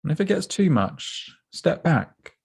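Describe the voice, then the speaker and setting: A man leading a guided body scan meditation in a gentle, unhurried, instructive way, leaving pauses between phrases. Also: fairly high pitch, big pitch swings, gravelly, breathy edge.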